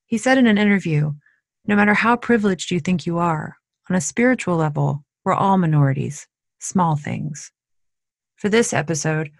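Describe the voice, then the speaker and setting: A woman hosting a podcast, reading a scripted introduction of her guest in an even manner. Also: fairly low pitch, creaky, no echo. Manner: even, read-aloud delivery. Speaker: podcast host. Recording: dry studio sound with faint music.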